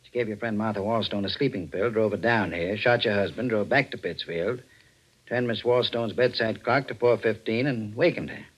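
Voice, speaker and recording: An actor playing a stern, shrewd district attorney with measured, accusing delivery in 1940s radio style. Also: male voice, on the low side, lightly acted, rough recording. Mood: probing.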